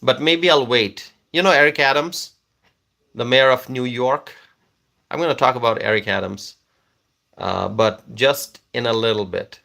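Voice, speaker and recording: A man whose first language is Bengali hosts a casual livestream, reading out comments and answering viewers. His voice is bright and forward at a middling pitch. He keeps pausing on fillers and repeating words as he talks. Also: creaky.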